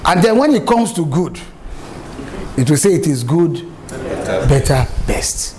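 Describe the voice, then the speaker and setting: Animated Nigerian man teaching public speaking. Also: on the high side, slow pace, slight background noise. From Nigeria, raised in Lagos. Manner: animated, emphatic storyteller. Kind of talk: lively spoken lecture with anecdotes.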